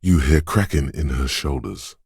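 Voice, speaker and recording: An actor voicing a watchful inner perception voice in a game, speaking slowly and deliberately in a hushed tone. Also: male voice, lively intonation, dry recording, studio quality, heavily acted. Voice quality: deep husky voice. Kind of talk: game voice-over lines.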